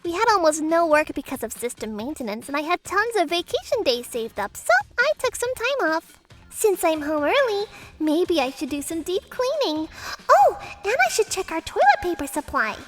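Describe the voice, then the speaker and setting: A woman voicing an anxious young newlywed wife in a manga dub, expressive and easily flustered. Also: very high voice, normal speed, faint music behind. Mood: narrating, mildly troubled. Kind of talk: manga dub first-person narration and dialogue.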